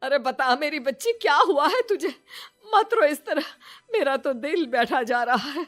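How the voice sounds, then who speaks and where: A woman's voice: sits high, expressive, measured pace, a bit bright, voice pushed up. A fretful, loving middle-aged mother in a moral tale, voiced by a woman. She speaks with open emotion and worry, her voice often lifting, over faint background music. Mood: anxious, tearful.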